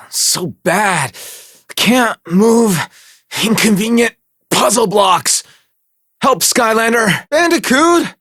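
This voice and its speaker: Chatty, starstruck cartoon game islander, high-voiced, swooping, given to melodrama. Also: male voice, fairly bright tone, dry recording, studio quality, heavily acted. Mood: pitiful. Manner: gushing, melodramatic, casual slangy phrasing. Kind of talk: cartoon video game voice acting.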